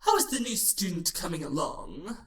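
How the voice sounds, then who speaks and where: A woman's voice: on the low side, big pitch swings, moderate pace. Woman voicing an imperious changeling queen, wildly swooping delivery. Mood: regal, composed. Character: regal scheming changeling queen, imperious and calculating. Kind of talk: fan audio drama acting. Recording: roomy echo, music underneath.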